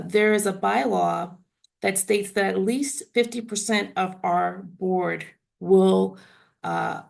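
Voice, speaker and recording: A woman in her forties with a raspy voice, hoarse from laryngitis, answering interview questions in a measured way over a video call. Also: on the low side. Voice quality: raspy, gravelly. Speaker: university trustee guest.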